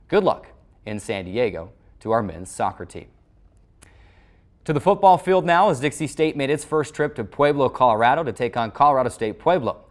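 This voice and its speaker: A man hosting a sports show, reading a scripted recap in formal broadcast phrasing. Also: medium pitch.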